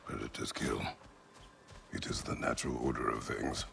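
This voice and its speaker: An actor playing a gruff, stoic, middle-aged warrior father in a video game, speaking tersely and formally in a gravelly voice. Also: steady tone, moderate pace, a bit bright, full character voice. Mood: stern, subdued. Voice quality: very deep gravelly voice. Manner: terse, formal phrasing without contractions. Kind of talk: dramatic video game acting. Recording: music behind the voice.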